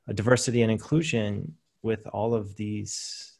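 Gravelly-voiced male podcast host. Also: on the low side, steady tone, moderate pace, darkish tone. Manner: many fillers, affirming "right" responses. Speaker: podcast host, coach trainer. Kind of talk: podcast interview hosting.

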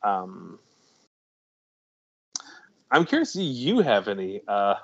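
A man's voice: lowish voice, very animated, slow pace, creaky, breathy edge. Rambling man on a lo-fi recording. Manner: rambling, self-interrupting, laughs at his own jokes. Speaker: podcast co-host. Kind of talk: casual chat about a film.

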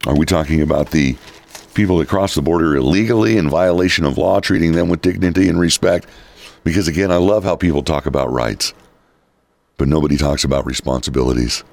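A male talk radio host with a raspy voice, giving opinionated political commentary. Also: rumbling low, brisk, darkish tone. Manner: opinionated, reads quotes then rebuts them. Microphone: studio microphone.

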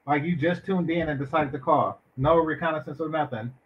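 A man's voice: fairly high pitch, a little fast, dull audio. A man reviewing a dating show with sarcastic, mocking commentary. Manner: mocking, mimics others.

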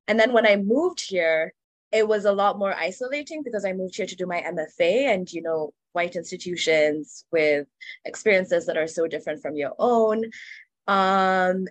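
A woman from Kenya speaking on a panel, her voice sitting fairly low. She talks earnestly and reflectively, with frequent fillers. The sound is dry and close. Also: no echo. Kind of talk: casual panel discussion.

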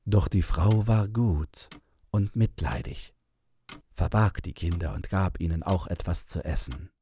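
A man narrating a scripted fairy tale in a measured storytelling manner. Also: sits low, dark tone, a little hiss or hum.

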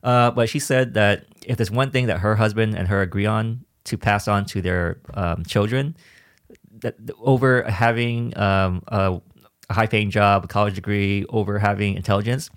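Stammering low-voiced host from Vietnam. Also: male voice, normal speed, no echo, clean studio sound. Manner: stammering, repeats words while thinking. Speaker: podcast host. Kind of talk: conversational interview.

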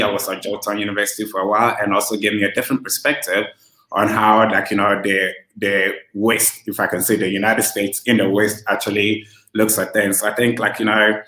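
A man in his thirties from Ghana, a relaxed interview guest who talks with many fillers. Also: lowish voice. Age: 30s. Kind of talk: casual interview over a video call. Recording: roomy echo.

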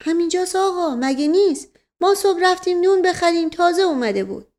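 Storybook manservant, female reader acting: slow-witted, folksy and evasive, pitched high, colloquial delivery. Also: expressive, a little mellow. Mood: evasive, defensive.

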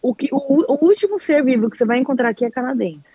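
A husky, fairly high-voiced woman from Brazil talks on a live chat, animated and lively as she tells her story and acts out quoted speech. The sound is dry and a little dull and lo-fi. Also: racing, no echo.

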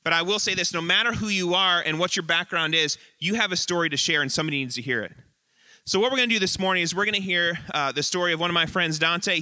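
A man with a high, very bright and forceful voice delivers a sermon in short, earnest, emphatic phrases, pausing between lines. The sound is dry and close, with little room around it. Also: clean tone, dry recording. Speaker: lead pastor. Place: church sanctuary.

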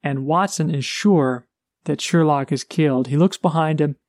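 A man's voice: a little high, moderate pace, darkish tone, no echo, clean studio sound. A man co-hosting a podcast, casually retelling a book's plot with energy. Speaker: podcast co-host. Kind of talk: casual book discussion.

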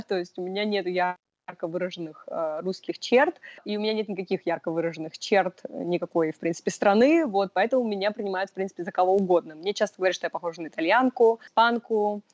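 A woman's voice: mid-range voice, quick pace, a little mellow, no echo. A young woman from Russia, a podcast guest, talking in a thoughtful, casual way with many fillers. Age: young adult. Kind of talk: casual interview talk.

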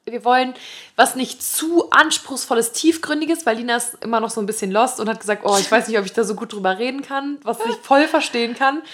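A woman's voice: on the high side, brisk, a bit bright, slight background noise. A young woman co-hosting a podcast, chatting casually and mixing lots of English slang into her talk. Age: young adult. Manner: mixes English slang into German. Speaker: podcast co-host. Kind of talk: casual chat between friends.

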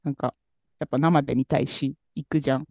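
A man co-hosting a podcast, telling a story in a relaxed, rambling way with many fillers. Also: mid-range voice, fast, gravelly, no room sound, muffled recording. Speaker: podcast co-host. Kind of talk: casual chat about music.

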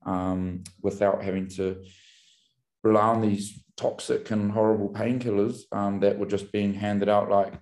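A man, telling his story as a podcast guest in a candid, reflective way with many pauses and restarts. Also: fairly low pitch, little pitch movement, a little mellow. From New Zealand. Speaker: podcast guest, brand founder. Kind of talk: casual interview storytelling.

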